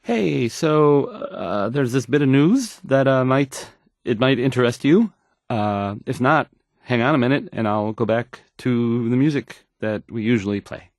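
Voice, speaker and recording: A man's voice: mid-range voice, expressive, no room sound, clean studio sound. An actor playing an awkward, insecure male radio DJ in a video game, stammering and hesitating through his news links. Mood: anxious, unsure. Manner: halting, stammering, hesitant, awkward, self-doubting. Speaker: radio DJ character.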